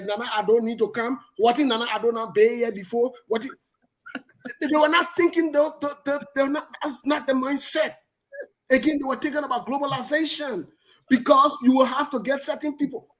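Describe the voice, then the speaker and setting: A man, hosting a political discussion and pressing his points emphatically, often repeating words for stress. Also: very high-pitched. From Liberia.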